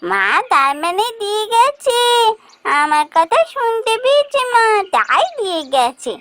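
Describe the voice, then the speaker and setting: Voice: very high-pitched, very animated, unhurried, very noisy, heavily acted. A performer voicing a sweet, eager little village girl in a cartoon, with a pleading, affectionate, childlike manner. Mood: delighted. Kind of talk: cartoon voice acting.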